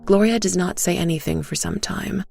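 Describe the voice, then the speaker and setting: A woman narrating a dark scripted tale as a storyteller, in a deliberate, suspenseful way. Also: lowish voice, gravelly, soft background music.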